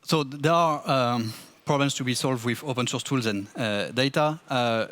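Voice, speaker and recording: Halting man giving a conference talk. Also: mid-range voice, fairly bright tone, dry recording. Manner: halting, with frequent restarts and repeated words. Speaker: conference speaker. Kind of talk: conference presentation.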